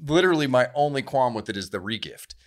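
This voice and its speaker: A man's voice: mid-range voice, animated, fast talker. A man co-hosting a podcast, talking casually with an informal, joking manner. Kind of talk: casual conversational podcast chat.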